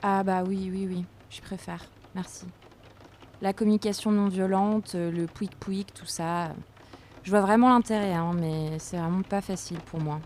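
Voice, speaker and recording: A woman's voice: mid-range voice, fairly even intonation, normal speed, a little mellow, slight background noise, lightly acted. A woman playing a reluctant, hands-on workshop worker in an audio drama, speaking in a hesitant, colloquial way. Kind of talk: naturalistic audio fiction dialogue.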